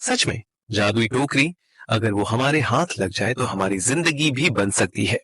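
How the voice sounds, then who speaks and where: Eager greedy husband from a cartoon fable, acted by a man, raspy tone, lively pitch, dry recording. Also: measured pace, no room sound, full character voice. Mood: excited greed. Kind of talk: cartoon moral story acting.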